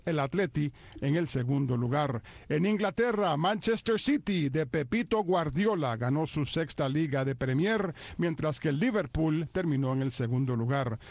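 A male sports co-host speaks in a fairly high voice, recorded close and dry. He delivers recaps and panel banter, rolling out foreign team names with showy pronunciation. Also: a little mellow, dry recording. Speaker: sports show co-host presenting soccer recap.

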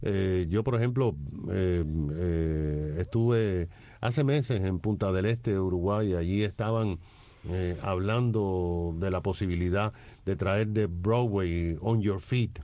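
Deep-voiced talk show host. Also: male voice, slow, warm tone. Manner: agreeable, many fillers and interjections. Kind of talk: conversational interview.

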